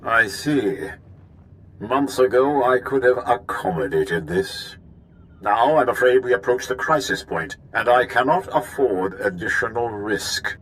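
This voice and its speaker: A man's voice: medium pitch, lively intonation, measured pace, a bit bright, poor audio. An imperious male admiral as a sci-fi game villain. He speaks with cold, formal courtesy and menace, with faint noise behind. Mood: menacing. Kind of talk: video game voice acting.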